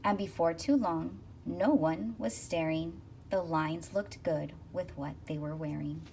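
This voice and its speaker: Low-pitched woman reading a picture book. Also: creaky, a little mellow. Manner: rhythmic, deliberate phrasing of rhyming verse. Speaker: school counselor reading aloud. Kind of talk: expressive children's picture book read-aloud. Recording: distant mic with faint noise.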